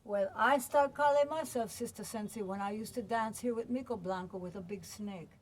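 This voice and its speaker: A woman in her sixties, raised in the United States and long settled in Jamaica, whose Jamaican-tinged accent colours her chatty, relaxed stories as an interview guest. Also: mid-range voice, moderate pace, poor audio. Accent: Jamaican-tinged American. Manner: chatty, relaxed, laughs easily. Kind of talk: casual interview storytelling.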